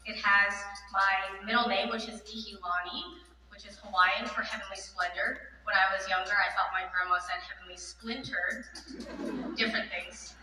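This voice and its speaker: A woman's voice: mid-range voice, fairly even intonation, normal speed, sharp tone. A woman introducing herself on a theatre stage with light storytelling and a small joke, recorded far off in an echoey hall.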